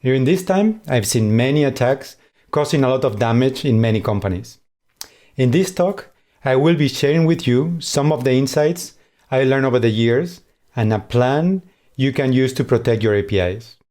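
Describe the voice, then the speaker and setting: A man with a Spanish accent presenting a scripted conference talk in a measured way. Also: medium pitch, mellow. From Spain. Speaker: conference speaker.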